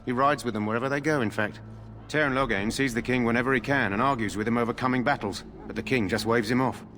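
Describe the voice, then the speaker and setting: An actor playing a loyal but gossipy royal guard in a video game, formal yet confiding. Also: male voice, medium pitch, fairly even intonation, fast, bright, forceful tone, a little hiss or hum, natural voice. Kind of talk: video game character dialogue.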